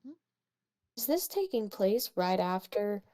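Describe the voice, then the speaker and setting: A woman's voice: mid-range voice, very animated, unhurried, warm tone. A woman co-hosting a read-aloud, interjecting casual critique in a blunt, playful way. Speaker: co-host giving feedback on fan fiction.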